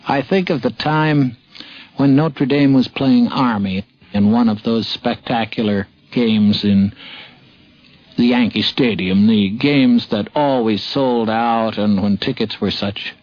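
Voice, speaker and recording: A man with an American accent, a sports columnist reminiscing in a halting manner with repeated phrases. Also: mid-range voice, no echo. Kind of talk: anecdotal reminiscence. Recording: muffled vintage radio recording.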